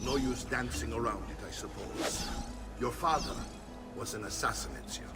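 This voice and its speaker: An actor playing a proud, middle-aged mercenary uncle and mentor with an Italian accent in a video game cutscene. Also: male voice, mid-range voice, normal speed, lightly acted. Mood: instructive, wry. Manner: theatrical, commanding, instructive. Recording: music behind the voice.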